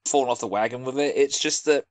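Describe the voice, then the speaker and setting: A man's voice: mid-range voice, quick pace, no echo. A British man chatting casually as a guest, rambling with joking asides. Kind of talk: casual discussion.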